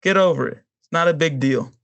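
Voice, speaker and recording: A man's voice: a little high, fairly quick, creaky, fairly bright tone. A man co-hosting a podcast, ranting casually to friends in a blunt, emphatic way. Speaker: podcast co-host. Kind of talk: casual opinion rant among friends.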